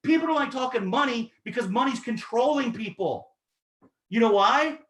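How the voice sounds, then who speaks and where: A man in his thirties giving an energetic, persuasive sales pitch, talking straight to his audience. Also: normal speed, echoey room. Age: 30s. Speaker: network marketing presenter.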